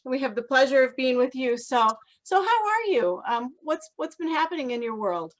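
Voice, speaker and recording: A woman's voice: high-pitched, big pitch swings, normal speed. A woman hosting a casual interview, asking a guest informal questions. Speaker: host interviewer.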